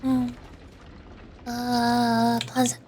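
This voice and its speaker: A woman's voice: a little high, monotone, drawn-out words, background noise. A woman VTuber on a game stream, chatting casually and reading the narration aloud.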